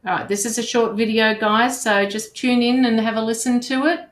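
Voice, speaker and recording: A woman's voice: fairly high pitch, steady tone, moderate pace, reverberant. A woman hosting a livestream, chatting informally with viewers and her guest. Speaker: livestream host. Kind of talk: casual livestream chat.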